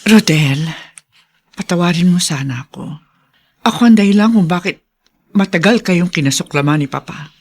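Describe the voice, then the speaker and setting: A woman's voice: lowish voice, lively intonation, normal speed, pauses often, a little mellow. An actress playing a sorrowful, contrite middle-aged mother in a Filipino radio drama, confessing with earnest melodrama.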